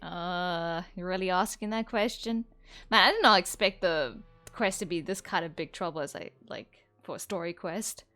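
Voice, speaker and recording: A woman's voice: on the low side, normal speed, bright, forceful tone, soft background music. A woman giving casual let's-play commentary, chatty and thinking aloud. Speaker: gaming streamer.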